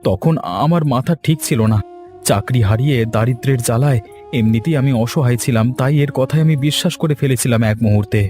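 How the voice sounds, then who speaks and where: A man's voice: mid-range voice, fast, dark tone, soft background music, close to an everyday voice. A man playing an earnest, wronged and hot-headed villager in a cartoon story, speaking emphatically. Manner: emphatic, declamatory. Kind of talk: Bengali cartoon story acting.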